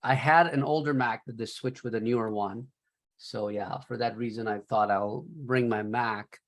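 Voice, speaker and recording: A man's voice: medium pitch, steady tone, normal speed. A man with a Pakistani accent, a professor on a video call, patiently talking a student through tech trouble step by step. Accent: Pakistani English. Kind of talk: online class talk.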